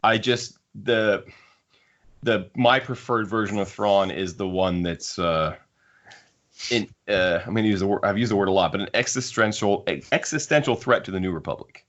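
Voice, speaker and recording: A man with a fairly low voice hosts a casual discussion. He speaks emphatically and with firm opinions. The recording is muffled and lo-fi. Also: normal speed, fairly bright tone. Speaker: podcast host. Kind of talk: casual panel discussion of books. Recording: muffled lo-fi audio.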